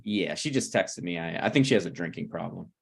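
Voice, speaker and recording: A man's voice: deep voice, animated, fairly quick, creaky, husky, exaggerated voice. A man reading the part of a flippant, needling, self-deprecating screenwriter in a conversational comedy table read. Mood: exasperated.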